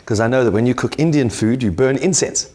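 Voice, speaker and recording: A man's voice: mid-range voice, quick pace, darkish tone. A man hosting a cooking show, with a South African accent, asking playfully naive questions and joking with his guest. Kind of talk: comic banter on a cooking show.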